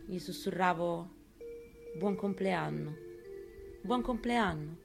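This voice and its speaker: Woman in a quiet, measured dramatic reading. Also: lowish voice, creaky, over music. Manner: quiet, measured first-person storytelling. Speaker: reader. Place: radio studio.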